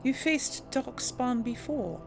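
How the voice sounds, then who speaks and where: A blunt young noblewoman warrior, role-played by a woman in a fantasy game, with a gravelly, slow voice. She speaks tersely and deadpan. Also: high voice, over music, pitched above the performer's own voice, heavily acted.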